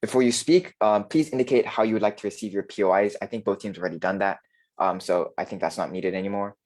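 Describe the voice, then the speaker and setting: A man's voice: fairly low pitch, quick pace, a little mellow. A man acting as moderator of an online debate, giving orderly procedural announcements with frequent fillers, over a video call.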